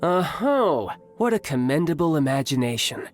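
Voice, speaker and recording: A man's voice: fairly high pitch, very animated, moderate pace, soft background music. A man voicing a smug, confident young warrior in a video game, sardonic and politely menacing. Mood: smug menace. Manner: smooth, sardonic, condescending. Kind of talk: video game character acting.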